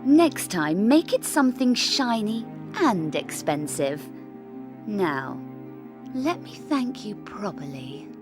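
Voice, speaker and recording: Sultry, slow-drawling wicked seductress. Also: female voice, mid-range voice, big pitch swings. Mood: seductive. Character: vain seductive noblewoman, greedy, flirtatious and wicked. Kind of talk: video game character acting. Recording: game music behind.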